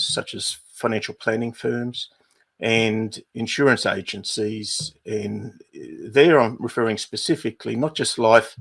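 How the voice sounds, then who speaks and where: A middle-aged Australian man with an Australian accent, hosting a livestream and walking viewers through housekeeping in an unhurried way with many fillers. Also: on the low side, fairly bright tone. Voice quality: gravelly, husky. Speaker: livestream host, business advisor.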